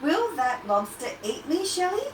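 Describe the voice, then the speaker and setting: Woman acting young storybook sea turtle, female, high voice, exclaiming, easily alarmed, distant roomy recording. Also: big pitch swings, a little slow. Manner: exclaiming, wide-eyed. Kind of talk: children's storybook character voice. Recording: roomy, distant, noisy livestream audio.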